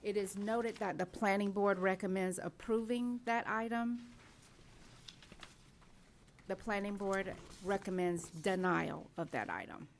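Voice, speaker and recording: A county staffwoman slowly reading agenda notes into the meeting record. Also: medium pitch, slight background noise.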